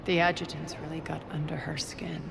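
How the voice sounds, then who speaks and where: A woman's voice: deep voice, flat delivery, a little fast, creaky, noisy background. A woman voicing an imperious, sardonic alien crime queen in a video game, haughty and menacing. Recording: music under the voice.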